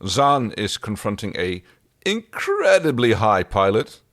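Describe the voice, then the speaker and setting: A man co-hosting a casual TV recap podcast, often pausing to search for his words. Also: fairly low pitch, sing-song, fairly bright tone, no echo, studio quality. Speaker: podcast co-host. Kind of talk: casual TV episode recap chat.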